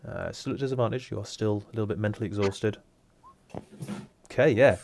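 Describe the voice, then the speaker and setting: A man's voice: lowish voice, a little fast, creaky, a little mellow, slight background noise. A man narrating a tabletop game as game master, casual and hesitant with many fillers.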